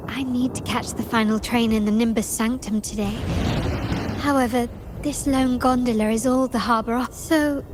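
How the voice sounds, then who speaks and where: An actress playing a refined, enigmatic woman traveller in a video game, with polite, formal and deliberate speech. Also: fairly high pitch, background noise, close to an everyday voice.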